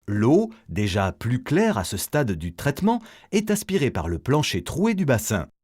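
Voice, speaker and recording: A man's voice: medium pitch, huge pitch swings, a little slow, darkish tone. A man reading a scripted educational narration as the narrator of an explainer film, setting things out in a didactic, step-by-step way.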